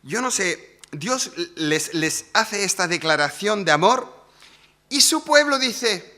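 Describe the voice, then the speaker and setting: A man's voice: high-pitched, animated, slow, pushed and bright. A man preaching a sermon in a conversational way, often asking the congregation questions. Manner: conversational, asks the audience rhetorical questions.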